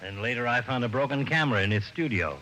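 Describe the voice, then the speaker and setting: An actor playing a gruff, good-natured, doubting veteran police partner with a grumbling, exclamatory manner in an old-time radio detective drama. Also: male voice, medium pitch, animated, fast talker, sharp tone, a little hiss or hum, poor audio. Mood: eager, reporting.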